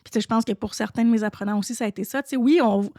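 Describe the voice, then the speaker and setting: Woman, Quebec French accent, gravelly fry, dry close sound, podcast host, chatty with restarts. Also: on the high side, very fast, warm tone, dry recording. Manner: conversational, many restarts and "tu sais" fillers. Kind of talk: casual solo podcast talk.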